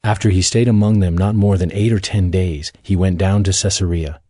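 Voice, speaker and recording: Deep, husky male Bible narrator. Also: fairly even intonation, a little fast, mellow, dry recording, clean studio sound. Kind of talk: scripted audio Bible narration.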